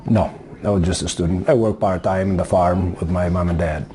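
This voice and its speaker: A man's voice: sits low, steady tone, brisk, warm tone. Greek-born man in his sixties, hesitant. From Greece. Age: 60s. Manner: hesitant, many fillers. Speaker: interviewee. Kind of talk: casual interview answers.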